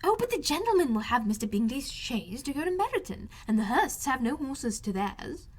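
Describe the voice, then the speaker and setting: A middle-aged mother from a classic novel, pitched very high. She is fussy and scheming, gushing her words with insistent emphasis. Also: very animated, normal speed, breathy edge, exaggerated voice.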